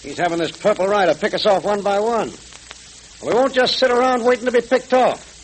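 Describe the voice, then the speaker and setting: An actor in a vintage radio serial playing a blustering, scheming gang boss with tough, emphatic gangster talk. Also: male voice, high-pitched, fairly quick, lightly acted. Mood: defiant anger. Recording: crackly old radio recording.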